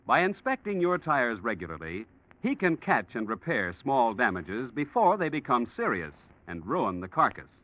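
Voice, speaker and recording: A man's voice: medium pitch, sing-song, moderate pace. A man reading scripted sponsor announcements as a radio announcer in 1940s broadcast style. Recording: dull vintage radio broadcast.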